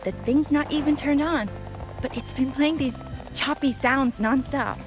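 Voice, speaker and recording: Eager girlish young woman, very high, game character, clear music behind. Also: unhurried. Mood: intrigued. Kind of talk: video game voice acting.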